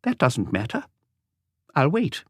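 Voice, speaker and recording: An arch little old woman in an audiobook mystery, acted out by a male narrator. The voice is raised well up in pitch, coy and serenely stubborn, in a dry recording. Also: wildly swooping pitch, slow, fairly bright tone, no room sound, exaggerated voice. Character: odd, arch, graceful elderly little woman.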